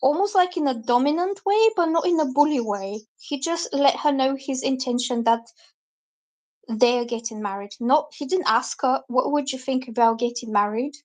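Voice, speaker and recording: A high-voiced woman reads tarot cards on a live stream. She talks in a chatty, loose way and drifts from point to point as she explains the cards. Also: moderate pace, low-quality recording. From Bulgaria. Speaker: tarot reader.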